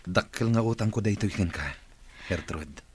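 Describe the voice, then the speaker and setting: A man's voice: fairly low pitch, normal speed, a little hiss or hum, natural voice. A man with an Ilocano accent acting in a radio drama as a weary, hopeful wealthy employer who is in therapy. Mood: weary, grateful.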